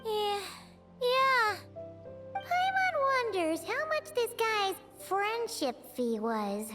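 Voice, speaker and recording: A woman voicing a small, cheeky, childlike fairy companion in a video game, squeaky and pert. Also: sing-song, drawn-out words, pushed and bright, faint music behind, full character voice. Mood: cheeky, surprised. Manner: refers to self in third person, pert.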